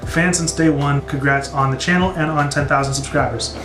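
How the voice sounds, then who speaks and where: A man's voice: a little high, steady tone, brisk, fairly bright tone. A young man in his twenties with an American accent, answering viewer questions casually and enthusiastically as a video host. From born in Brazil, grew up in the United States from age three. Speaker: YouTube music reaction host. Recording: roomy echo with music behind. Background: background music.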